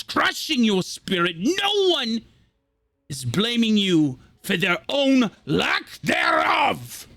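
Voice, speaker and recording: A man playing a bitter, theatrical villain in a tabletop roleplay, delivering grandiose, deliberate, impassioned speeches. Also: sits high, gravelly, a bit bright, no room sound, exaggerated voice. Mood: defiant. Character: bitter, theatrical villain justifying his fall from hero. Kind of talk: dramatic in-character monologue in a tabletop roleplay.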